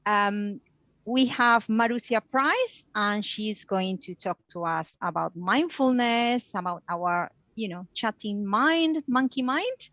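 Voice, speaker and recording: A woman hosting an online meeting over a video call. She sounds hesitant and quick to apologize. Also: mid-range voice, lively intonation, fairly bright tone, dry recording. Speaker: meeting host. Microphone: computer mic on a video call. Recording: dull, dry video-call audio.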